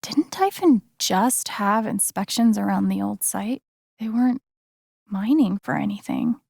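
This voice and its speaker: Earnest young woman, game heroine, unhurried pace, casual naturalistic delivery, caring, determined, dry close sound. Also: medium pitch, big pitch swings, vocal fry, a little mellow, dry recording, exaggerated voice. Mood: urgent, intent. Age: young adult. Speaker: voice actress in a narrative video game.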